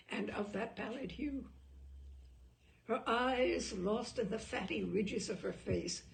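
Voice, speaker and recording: Gravelly, expressive woman reading literature aloud. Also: medium pitch. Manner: deliberate, dramatic phrasing with pauses. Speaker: storyteller reading a short story aloud. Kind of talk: expressive literary audiobook narration.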